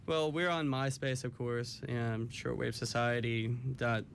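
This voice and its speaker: A man's voice: medium pitch, unhurried, creaky, a little hiss or hum. A man chatting casually and a little hesitantly as a musician guest in a radio studio. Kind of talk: casual chat.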